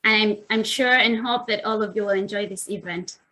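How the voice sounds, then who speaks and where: A woman's voice: medium pitch, brisk, bright, forceful tone, poor audio. A woman hosting and opening a formal online launch event with a welcome speech. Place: online video meeting.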